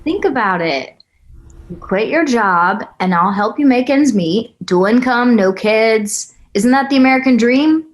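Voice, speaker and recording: A blunt, eager young girlfriend, played by a woman at a table read. Her voice sits fairly high and she speaks slowly. She banters brashly and teases as she goes. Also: animated. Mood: hopeful, persuasive. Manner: brash, foul-mouthed banter.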